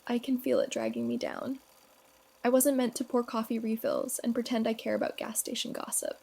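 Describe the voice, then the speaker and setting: A woman playing a bitter, ambitious young woman longing for fame and escape, in a dramatic monologue with a husky, creaky voice. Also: on the high side, sing-song, fairly quick, darkish tone, heavily acted. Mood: brooding, yearning.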